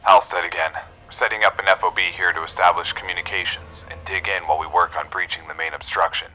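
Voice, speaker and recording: Military officer, game log: very deep, bright and forceful, formal, confident, duty-minded. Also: male voice, steady tone, fast talker, low-quality recording. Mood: measured, resolute. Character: male military expedition officer, confident, dutiful, recording a field log. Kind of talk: scripted video game log narration.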